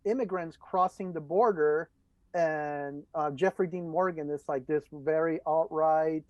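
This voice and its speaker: A man's voice: fairly high pitch, unhurried, a little mellow, dry recording. Man, podcast host from El Salvador, casual interview talk, dry and muffled lo-fi sound. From El Salvador, moved to the United States as a child. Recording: dull, dry lo-fi microphone.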